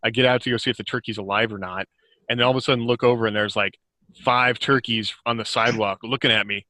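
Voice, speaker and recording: Bright-voiced American man chatting informally. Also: medium pitch, fairly even intonation, quick pace, dry recording, low-quality recording. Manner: informal, chuckles often while talking. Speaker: author, podcast guest. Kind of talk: casual chat, anecdotes.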